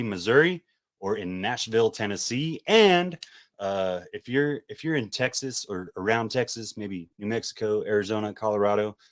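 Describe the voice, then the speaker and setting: A man hosting a casual podcast, talking in a relaxed, rambling way with lots of fillers. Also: on the low side. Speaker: podcast host.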